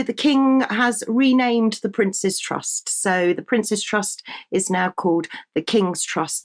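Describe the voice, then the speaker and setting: A woman with a slightly croaky voice, presenting a news podcast in a chatty, conversational way with fillers and restarts. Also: mid-range voice. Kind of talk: casual news commentary.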